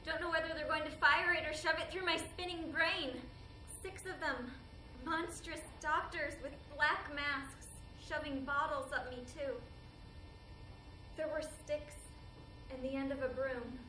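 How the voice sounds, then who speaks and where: A traumatized woman , acted on stage, speaks her monologue in a high voice, numb and grieving. The hall rings with echo, and noise sits clearly behind her. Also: steady tone, a little slow, pushed and bright, recorded from a distance. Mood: horrified. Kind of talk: theatrical monologue performance.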